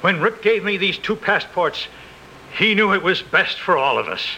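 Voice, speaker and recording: A man's voice: high voice, animated, normal speed, pushed and bright, a little hiss or hum, voice pushed up, full character voice, rough recording. A man voicing a noble, earnest husband in a radio parody, with declamatory speech. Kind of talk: old-time radio parody.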